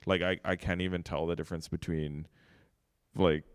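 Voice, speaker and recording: A man interviewing an artist in a relaxed way, asking curious questions with lots of filler words. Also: deep voice, moderate pace, clean tone, no room sound, clean studio sound. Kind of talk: casual interview talk.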